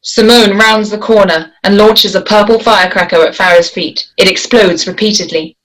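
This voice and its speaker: A woman's voice: mid-range voice, steady tone, normal speed, fairly bright tone, poor audio. A woman reading stage directions as the narrator at a table read, in scripted narration.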